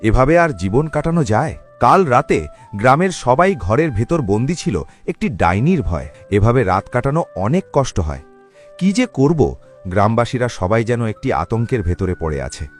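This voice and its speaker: An earnest village man from a cartoon, acted by a man. His voice is mid-pitched and very lively, over faint music. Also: normal speed, close to an everyday voice. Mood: worried. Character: anxious earnest male villager, deferential. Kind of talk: Bengali cartoon voice acting.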